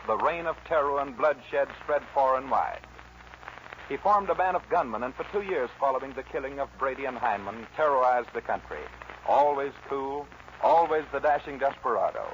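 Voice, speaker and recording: A slightly dull vintage radio recording with faint noise carries a man's dramatic narration. His medium-pitched American voice is solemn and theatrical, in the scripted manner of 1940s westerns.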